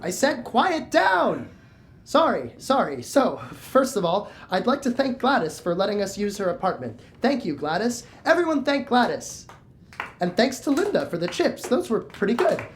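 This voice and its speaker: A bossy club leader on a noisy tape. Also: female voice, fairly high pitch, very animated, normal speed. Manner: commanding, then rambling. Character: bossy meeting leader of a tenants' society.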